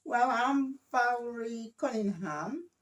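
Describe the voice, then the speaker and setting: An older woman from Jamaica, telling her life story as a guest in a casual family interview, gentle and hesitant, often repeating her words. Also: fairly high pitch, expressive, slow.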